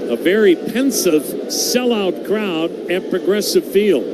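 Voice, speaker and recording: A man calling baseball play-by-play for radio in measured, descriptive, short clipped phrases. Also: high voice, animated. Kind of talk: live radio sports commentary. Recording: very noisy live broadcast.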